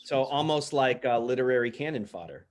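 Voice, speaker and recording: A man's voice: mid-range voice, expressive, brisk, slight background noise. A man hosting a casual interview, speaking in a jokey, tongue-in-cheek, teasing way. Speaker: podcast interviewer and host.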